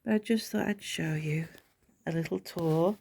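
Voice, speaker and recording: A middle-aged English woman with hay fever, softly narrating a casual home tour, often half-whispering. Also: sits low, normal speed, gravelly, breathy edge. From England. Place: cottage bedroom, indoors.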